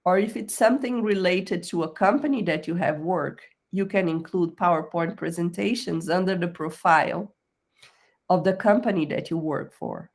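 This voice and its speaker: Woman career coach, slightly lower voice, patient step-by-step webinar delivery, often checks in with "okay?". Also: normal speed. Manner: explanatory, checks in with "okay?", non-native phrasing. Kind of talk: screen-share tutorial on a professional profile.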